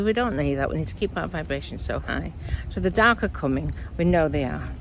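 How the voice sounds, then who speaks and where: Croaky low-voiced woman medium. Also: a little mellow, a little hiss or hum. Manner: earnest, rambling, self-correcting. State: croaky, dry voice. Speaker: spiritual medium. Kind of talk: casual spiritual monologue.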